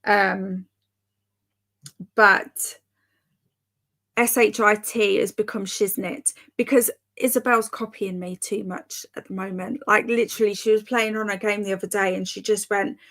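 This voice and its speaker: A woman's voice: mid-range voice, steady tone, measured pace, a bit bright. A woman hosting a livestream, chatting casually and reading out comments, rambling with fillers, self-corrections and light laughs. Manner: laughs lightly between remarks. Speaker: livestream host of reading sprints; mother.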